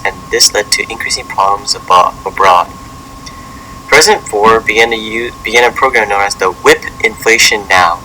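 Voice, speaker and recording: A man reading a scripted educational narration in a halting way, stumbling over words now and then. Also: on the low side, sharp tone, slight background noise, low-quality recording. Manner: halting read-aloud delivery with stumbles.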